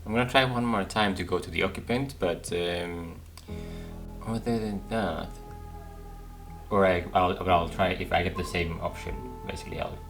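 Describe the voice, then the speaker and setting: A man's voice: low-pitched, moderate pace, fairly bright tone, soft background music. A man giving casual playthrough commentary, thinking aloud tentatively as he goes. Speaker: gaming streamer.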